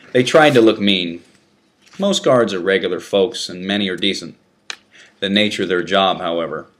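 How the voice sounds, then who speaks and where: A man narrating a written first-person memoir as a scripted reading, with a deliberate, dramatic delivery. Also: low-pitched.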